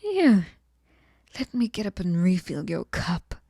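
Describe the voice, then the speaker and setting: Woman as obsessive sweet fairy for ASMR roleplay: soft mellow tone, unhurried, coaxing and whispery, close and dry. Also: on the low side, sing-song, no room sound, full character voice. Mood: cuddly, doting. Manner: breathy cooing, often dropping into whispers, coaxing. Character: sweet, clingy, possessive female fairy hostess, lonely and obsessive. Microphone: close microphone, dry sound.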